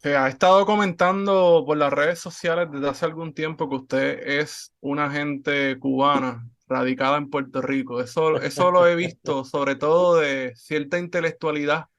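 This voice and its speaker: A man hosting a podcast interview, speaking earnestly and at a measured rate, with frequent fillers. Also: on the high side, fairly even intonation, fairly bright tone. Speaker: podcast host. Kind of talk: conversational interview.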